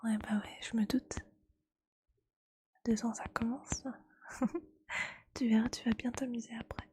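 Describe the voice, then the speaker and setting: A woman speaking in a breathy ASMR whisper, chatting casually and with gentle teasing like a streamer talking to viewers. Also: fairly high pitch, little pitch movement, measured pace.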